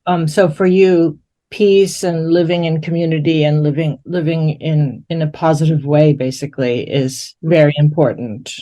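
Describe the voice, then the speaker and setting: A woman's voice: low-pitched, steady tone, moderate pace, dark tone. A woman interviewing in a conversational, reflective way, rephrasing her questions as she goes to check she understands.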